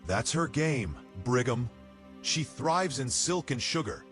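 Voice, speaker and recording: A man playing a cautious, wry, level-headed soldier in a fantasy audio drama, speaking in a measured manner. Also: mid-range voice, lively intonation, a bit bright, faint music behind. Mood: conspiratorial.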